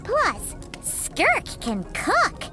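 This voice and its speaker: Tiny childlike fairy companion for anime game: bubbly, food-loving, very high voice with big swoops. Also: female voice, huge pitch swings, very slow, exaggerated voice. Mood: cheerful, eager. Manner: refers to self in third person, bubbly. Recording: loud music under the voice.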